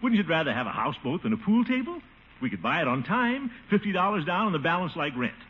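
An actor playing a pompous, blustery middle-aged water commissioner with a booming chuckle, in theatrical 1950s radio sitcom style. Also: male voice, sing-song, fast talker, breathy edge, exaggerated voice. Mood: eager, self-satisfied. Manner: theatrical, swooping, grandiose delivery. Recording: muffled, faintly noisy old radio recording.

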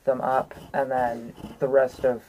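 A woman's voice: rumbling low, flat delivery, measured pace, dark tone, slight background noise, rough recording. A woman chatting casually as a player in a tabletop game.

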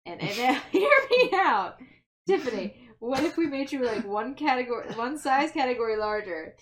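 Higher-voiced playful woman gamer. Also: lively intonation, moderate pace, a crowd in the background. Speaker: tabletop game player. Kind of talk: casual in-game chat.

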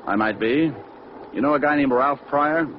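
An actor playing a wisecracking, hard-boiled private detective with a dry, flippant manner in 1940s radio style. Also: male voice, medium pitch, normal speed, close to an everyday voice. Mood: sardonic. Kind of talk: 1940s radio detective acting and first-person narration. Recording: muffled, noisy old radio recording.

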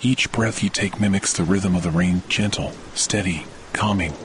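A man hosting a guided sleep meditation, speaking in a slow, soothing way with long pauses. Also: low voice, slight background noise. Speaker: podcast host and meditation guide. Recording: loud rain and thunder behind voice. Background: rain and thunder sounds.

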